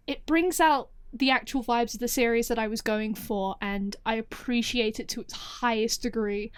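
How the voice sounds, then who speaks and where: A woman with a smooth, fairly high voice gives informal guest commentary. She is effusive and leans emphatically on her points. The sound is dry and close. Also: dry recording. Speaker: guest commentator, audio series creator. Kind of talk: informal spoken appraisal.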